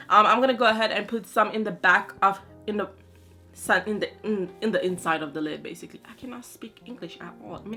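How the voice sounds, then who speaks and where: A woman's voice: medium pitch, sharp tone. A young woman whose first language is French, chatting through a makeup tutorial in a sassy, playful way with slang asides. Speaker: beauty vlogger.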